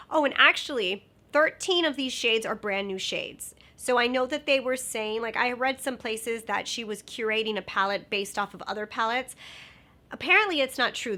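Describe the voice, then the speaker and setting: A woman's voice: on the high side, bright, forceful tone. A young woman with a nasal, stuffed-up voice from a sinus infection, chatting bubbly through a makeup review with lots of fillers. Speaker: beauty vlogger.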